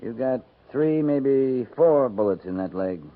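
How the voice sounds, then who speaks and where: An actor playing a steady, dry-witted cavalry captain in a 1950s radio western, terse and understated in his authority. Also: mid-range voice, unhurried, lightly acted. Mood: grave, patient. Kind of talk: 1950s radio drama acting. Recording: noisy, tinny 1950s radio recording.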